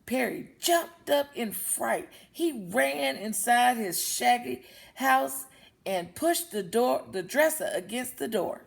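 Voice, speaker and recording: Slow-paced woman reading a children's story. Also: fairly high pitch, animated, vocal fry. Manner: explains words with questions to young listeners. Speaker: read-aloud storyteller.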